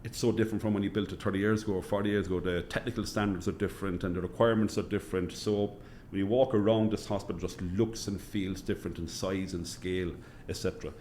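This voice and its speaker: A middle-aged man with a Cork Irish accent, answering interview questions as a hospital chief executive in a measured way, repeating phrases for emphasis. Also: sits low, fairly even intonation, darkish tone, slight background noise. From Cork, Ireland.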